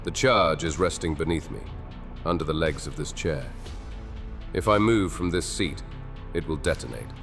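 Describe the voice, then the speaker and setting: An actor playing a grieving, desperate king in a dark fantasy audio drama, speaking in slow, solemn, measured threats. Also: rumbling low. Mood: grimly threatening. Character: grieving cursed old king, grave and desperate. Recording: reverberant with soft music behind.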